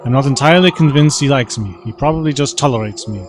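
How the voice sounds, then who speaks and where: A man's voice: medium pitch, fast talker, music in the background, lightly acted. A man playing a wry, insecure adventuring companion in a science fiction audio drama, with a dry, sardonic delivery.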